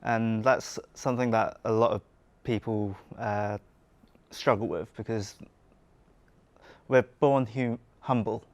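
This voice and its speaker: A man's voice: on the low side, steady tone, takes it slowly, pauses often, no echo. A man hosting a casual talk, speaking earnestly and steadily with some fillers. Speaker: podcast host and community organizer. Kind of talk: casual podcast conversation.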